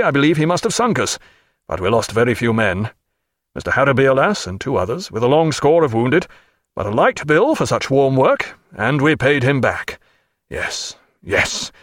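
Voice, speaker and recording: A man voicing a tired, curt naval captain in an audiobook, speaking low and clipped. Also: fairly bright tone, dry recording, studio quality. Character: weary, curt middle-aged naval captain.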